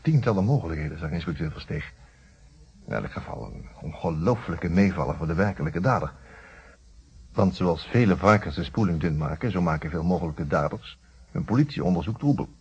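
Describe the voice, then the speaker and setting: A man's voice: low voice, expressive, a little fast, slightly rough, heavily acted. An actor playing a wry, questioning middle-aged lawyer in a Dutch radio detective play, musing and often repeating his words hesitantly. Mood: wry narrating. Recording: dull, muffled old radio recording.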